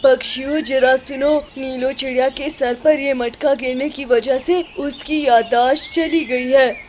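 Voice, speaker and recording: A woman's voice: sits high, fairly even intonation, unhurried, a little hiss or hum. A woman voicing a wise cartoon owl who explains and gives instructions to a group of birds. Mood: explaining. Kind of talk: Hindi cartoon voice acting.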